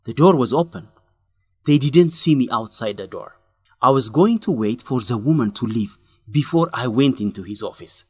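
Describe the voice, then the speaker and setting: An actor playing an earnest male journalist in a radio drama, speaking expressively in simple, deliberate sentences. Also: mid-range voice, lively intonation, husky, no room sound. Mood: serious, recounting. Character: earnest young male journalist breaking bad news to a friend. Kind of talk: English-learning radio drama acting.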